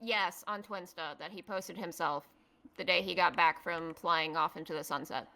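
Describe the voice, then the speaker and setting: A woman's voice: lowish voice, normal speed, gravelly, sharp tone. A woman giving improvised testimony as a witness in a roleplay trial, insistent and pushing back on questions.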